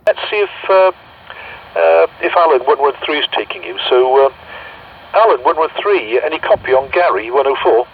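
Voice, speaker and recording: Through a narrow, slightly noisy CB radio link comes a British man with a lively, swooping pitch. He acts as net controller, polite and a bit hesitant as he handles the exchange. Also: moderate pace, creaky. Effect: CB radio transmission. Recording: muffled, noisy CB radio speaker.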